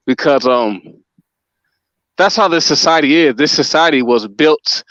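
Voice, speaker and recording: A man's voice: mid-range voice, a bit bright, no room sound. A man of Nigerian descent raised in the US hosts a casual panel talk. He speaks hesitantly, with many ums and restarts. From United States. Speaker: talk show host. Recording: dull lo-fi dry sound.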